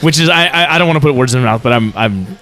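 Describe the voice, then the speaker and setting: A man's voice: medium pitch, lively intonation, very fast, pushed and bright. A man hosting a casual podcast interview, whose first language is Vietnamese, asking rambling, self-correcting questions. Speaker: podcast host.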